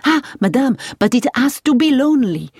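A woman's voice: high-pitched, very animated, normal speed, darkish tone, slightly rough, dry recording, studio quality, voice pushed up, full character voice. A woman voicing an eager, proud and polite male driver in a storybook, in an enthusiastic, emphatic way.

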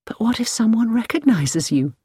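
A woman's voice: a little high, animated, moderate pace, mellow, husky, no echo, pitched above the performer's own voice. A woman playing an uneasy but strong-minded woman traveler in a storybook, earnest and questioning. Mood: curious.

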